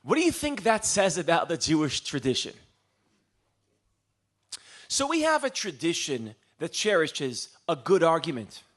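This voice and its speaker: A man from Israel giving a live lecture as a storyteller, posing rhetorical questions and pausing for dramatic emphasis. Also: on the high side, huge pitch swings, a bit bright. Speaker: public speaker, lecturer.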